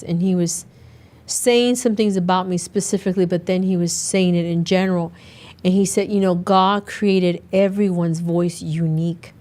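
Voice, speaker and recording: A middle-aged woman hosts a solo podcast in a slightly low, raspy voice that is still hoarse from being sick. She talks in an earnest, conversational way and often circles back to restart a thought. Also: a little mellow. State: hoarse after a recent illness. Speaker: podcast host and naturopathic doctor. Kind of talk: casual solo podcast talk.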